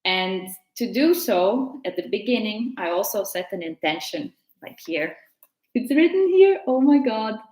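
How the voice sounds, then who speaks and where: A woman with a Latvian accent, a tarot teacher talking gently and unhurriedly in a casual vlog, sometimes pausing to find her words. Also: mid-range voice, sing-song, reverberant, poor audio.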